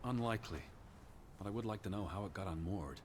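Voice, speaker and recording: A man voicing an earnest, anxious American naturalist in a video game, speaking in a measured, brooding, formal way. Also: sits low, darkish tone, a little hiss or hum, close to an everyday voice. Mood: uneasy, puzzled. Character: earnest anxious American male naturalist searching for his sister. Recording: music behind the voice.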